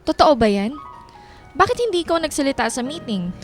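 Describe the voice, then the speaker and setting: A woman's voice: sits high, big pitch swings, fairly quick, faint music behind. A woman playing a stern, no-nonsense office boss in a radio drama, speaking in a firm, businesslike manner.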